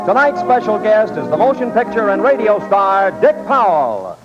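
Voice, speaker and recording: A muffled old radio recording with loud brass music under a male announcer. His voice is high and swings dramatically as he makes a 1940s show introduction. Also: very animated, moderate pace.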